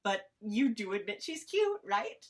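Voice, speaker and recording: A woman performs a ditzy, bubbly young podcaster in an audio comedy. Her husky voice takes its time and swings up and down, sheepish and cutesy as she excuses herself. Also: mid-range voice, very animated, measured pace. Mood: gushing. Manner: hesitant, apologetic, cutesy. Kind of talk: informal script read-through of audio comedy.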